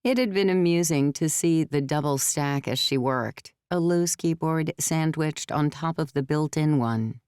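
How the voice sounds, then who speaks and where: A woman's voice: low voice, a little slow, few pauses, darkish tone, clean tone, no room sound, studio quality. A woman reading scripted third-person fiction narration as an audiobook narrator.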